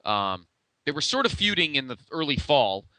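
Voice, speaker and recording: A man's voice: on the high side, very animated, moderate pace, pushed and bright, no echo. A man co-hosting a podcast in casual, conversational retrospective chat, with a blunt way of talking. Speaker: podcast co-host.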